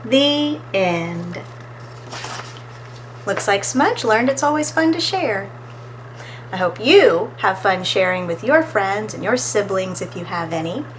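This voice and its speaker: A woman reading a children's story aloud as an expressive storytime narrator, recorded with faint background noise. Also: medium pitch, unhurried, low-quality recording. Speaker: storytime reader.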